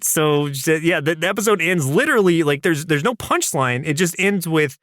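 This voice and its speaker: A man's voice: fairly high pitch, lively intonation, normal speed, a bit bright, no echo, clean studio sound. A man co-hosting a podcast, recapping in casual, rambling chat and acting out bits of dialogue. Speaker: podcast co-host.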